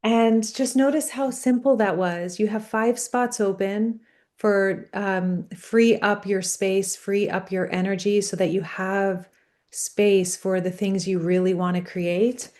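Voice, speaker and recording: Woman coach on a video call, earnest and encouraging, middle pitch. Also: steady tone, a little mellow. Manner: earnest and encouraging, quick to affirm guest. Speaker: business coach hosting a workshop. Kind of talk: live online workshop coaching.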